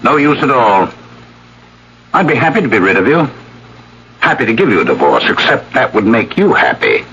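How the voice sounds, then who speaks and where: An actor playing a spiteful, bitter husband with sardonic contempt, in an old-time radio drama. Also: male voice, mid-range voice, very animated, normal speed, long pauses, bright, forceful tone, breathy edge, heavily acted. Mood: scornful, angry. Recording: muffled old radio recording with hiss.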